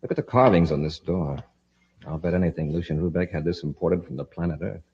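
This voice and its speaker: A man's voice: low voice, quick pace, darkish tone, breathy edge. An actor playing a decisive, inquisitive male space patrol commander in a 1950s radio serial. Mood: curious, assured. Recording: muffled old radio recording.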